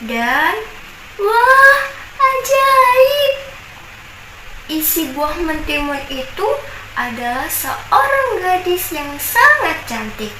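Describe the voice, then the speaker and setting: Slow, sing-song young woman storyteller. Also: extremely high pitch, sing-song. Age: young adult. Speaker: university student. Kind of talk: storytelling a folk tale. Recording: echoey room, distant mic, noisy.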